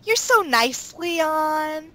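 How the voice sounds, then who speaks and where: High voiced woman just chatting in a cast q&a. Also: animated, drawn-out words, bright, forceful tone. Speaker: voice actor and series co-creator. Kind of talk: casual chat.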